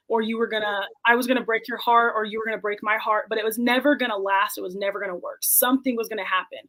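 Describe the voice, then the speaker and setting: A woman's voice: fairly high pitch, fairly bright tone, poor audio. A woman in her twenties, a podcast guest, talking candidly and reflectively in casual conversation. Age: 20s. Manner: candid and reflective, quotes her own past thoughts.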